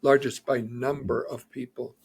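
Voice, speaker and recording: A man's voice: medium pitch, flat delivery, a little slow, gravelly, a little mellow, husky. A man who is an immigration lawyer, methodically explaining legal news in an interview and citing figures and reports.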